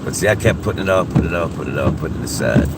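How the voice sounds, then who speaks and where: A man with a very low voice, a little congested from a head cold, talking to his viewers in a folksy, sermon-like way. He sits a fair way from the mic inside a car, and loud rain drums all around him. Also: animated, racing, creaky, recorded from a distance, heavy background noise. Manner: folksy, preachy, calls listeners "folks" often. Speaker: vlogger chatting with live viewers. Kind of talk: casual live-stream chat.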